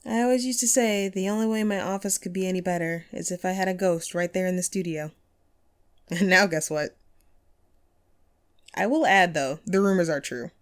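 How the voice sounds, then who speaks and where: A woman's voice: lowish voice, steady tone, normal speed, pauses often, close to an everyday voice. A woman playing a friendly, wistful ghost who hosted a paranormal radio show, chatty and playful in an intimate audio roleplay. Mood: chatty, wry. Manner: playful, conversational, self-deprecating, breaks into chuckles. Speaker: radio host.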